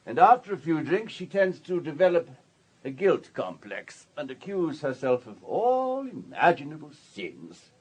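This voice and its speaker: A man's voice: a little high, very animated, unhurried, darkish tone, husky, exaggerated voice, rough recording. An actor in a radio drama playing an urbane, wealthy businessman with a polished, courteous, storytelling manner. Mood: confiding, measured. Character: urbane, wealthy older businessman, discreet and self-assured.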